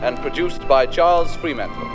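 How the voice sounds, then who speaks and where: A male radio announcer with a fairly high voice delivers a continuity announcement in 1960s style. Loud music plays behind him on the old broadcast. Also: huge pitch swings, normal speed.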